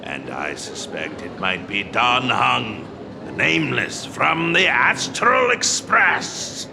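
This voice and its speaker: A man voicing a world-weary detective in a video game, with a dry, deliberate way of talking. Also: mid-range voice, very animated, creaky, background noise, heavily acted. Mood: businesslike.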